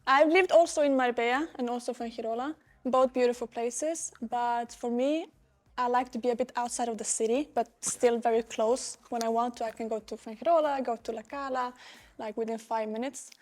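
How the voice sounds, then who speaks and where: A young woman raised in Norway, working as a real estate agent and answering interview questions in a relaxed, easygoing way. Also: a little high, a little fast. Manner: relaxed, short easygoing replies with light laughs.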